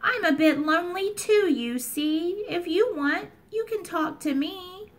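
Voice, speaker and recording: A woman voicing a small, shy, scared little boy in a storybook reading, speaking softly and timidly. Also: very high voice, animated, a little slow, voice pushed up. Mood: gentle. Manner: soft, timid.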